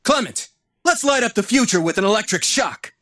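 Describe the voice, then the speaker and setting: A cool young male gym leader from an anime-style game. His fairly high voice moves with lively confidence. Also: a little fast. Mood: confident, spirited.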